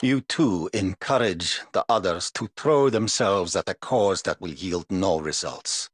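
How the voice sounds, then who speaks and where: A world-weary knight of few illusions, speaking in a very low, husky register. His lines come out measured and dry. The studio sound is close and dead. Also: dry recording. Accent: English. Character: weary aloof middle-aged male knight. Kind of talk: game voice lines.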